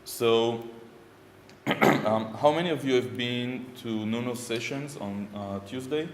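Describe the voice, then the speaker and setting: A man's voice: mid-range voice, slow, a little hiss or hum. A man in his thirties with an Israeli accent, giving an informal technical talk at a conference with many ums and uhs. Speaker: conference speaker. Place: conference room with projector screen. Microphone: far room mic. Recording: echoey hall from far off.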